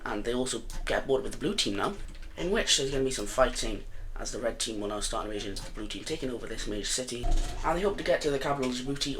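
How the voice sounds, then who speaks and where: A man with a croaky voice narrates a map simulation in a loose, casual way. He talks quickly, stumbling through fillers and correcting himself as he goes, over a faint background hiss. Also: medium pitch, a bit bright. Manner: rambling, many fillers and self-corrections. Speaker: video host.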